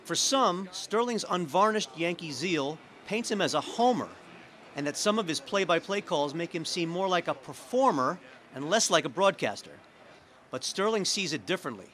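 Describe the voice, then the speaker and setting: A man's voice: high-pitched, lively intonation, normal speed, a bit bright, slight background noise. A man reading scripted narration for a news feature as a reporter.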